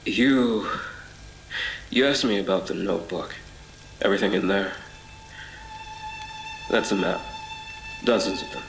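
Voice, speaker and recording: An actor playing a haunted, obsessive traveler between twisted worlds in a horror audio drama, speaking in a measured, brooding, literary way with halts. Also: male voice, low voice, creaky. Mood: grave, confiding. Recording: reverberant voice over music. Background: music.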